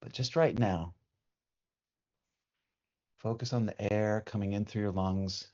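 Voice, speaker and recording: A man leading a guided meditation as a teacher, speaking in a soothing, unhurried way with long pauses. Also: on the low side, fairly even intonation, mellow. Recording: dull, muffled lo-fi sound.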